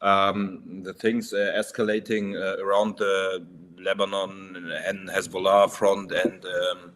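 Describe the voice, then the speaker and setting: A man from Turkey raised in Germany, a first-time caller who is polite and hesitant, with many pauses and ums. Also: sits low, little pitch movement, fairly bright tone. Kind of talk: casual online discussion.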